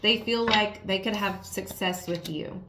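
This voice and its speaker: A woman with a raspy, worn voice giving a casual tarot reading, talking frankly and straight to the viewer. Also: mid-range voice, unhurried, background noise. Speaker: tarot reader. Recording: roomy echo.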